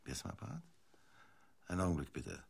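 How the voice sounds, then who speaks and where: A man's voice: low-pitched, very animated, quick pace, vocal fry, very noisy, full character voice. A man playing a put-upon, easily flustered radio announcer in a German radio play, fussily repeating himself. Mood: distracted.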